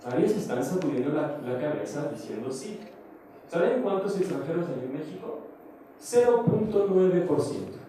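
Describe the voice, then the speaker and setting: A man with a mellow, dark voice gives a speech at a conference opening, hesitant and full of fillers and restarts. He is heard from a distance in a very echoey hall, with a faint hiss underneath. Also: a little high, sing-song, normal speed. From foreign, living in Mexico. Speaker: theatre maker and invited speaker.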